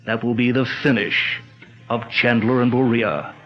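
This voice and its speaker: A man playing a calm, commanding crime-fighting detective in a 1940s-style radio drama, speaking in a measured, explanatory way. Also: medium pitch, faint music behind, close to an everyday voice. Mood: calm, explaining. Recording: dull lo-fi recording with loud hiss.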